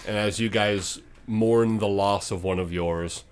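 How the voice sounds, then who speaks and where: Low-voiced man narrating as game master. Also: steady tone, unhurried. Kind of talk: dramatic narration of a tabletop game. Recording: clear background noise and ambience.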